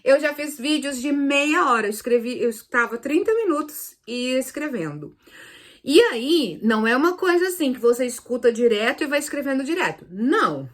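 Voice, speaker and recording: Higher-pitched Brazilian woman teaching. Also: sing-song, moderate pace. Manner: didactic, repeats and rephrases key points. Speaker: Spanish teacher hosting a video lesson series. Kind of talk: casual, explanatory video lesson.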